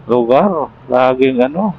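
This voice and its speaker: A man's voice: mid-range voice, expressive, slow, gravelly, slightly rough, muffled recording. A man chatting casually as a motorcycle vlogger through a helmet intercom while riding. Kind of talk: casual riding vlog chat. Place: outdoors, riding a motorcycle in traffic.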